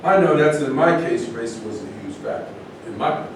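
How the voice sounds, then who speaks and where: A man's voice: expressive, fairly quick, lightly acted. A man in a staged reading, playing an outspoken, scornful and militant athlete. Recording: echoey room from far off, rumbling noise.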